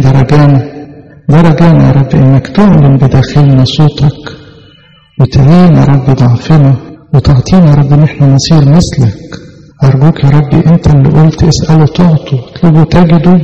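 A man leads an earnest, pleading prayer in an Egyptian accent, his voice dark and gravelly. He speaks quickly and keeps returning to his appeals to God. The sound is dull and lo-fi, with a long reverb from the hall. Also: a little high. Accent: Egyptian Arabic. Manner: earnest pleading, repeating "O Lord" often. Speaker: prayer leader. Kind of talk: fervent extemporaneous prayer.

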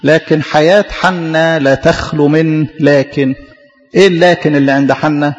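A man's voice: on the high side, steady tone, moderate pace, big-room sound, lo-fi sound. A man preaching a sermon in an Egyptian Arabic accent, often asking questions and answering them himself. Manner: questioning, repetitive.

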